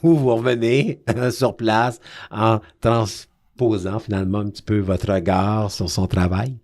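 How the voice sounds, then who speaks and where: A man hosting a radio interview about film, chatty and quick to laugh, often repeating words as he talks. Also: on the low side, fairly even intonation, a little mellow. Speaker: radio host.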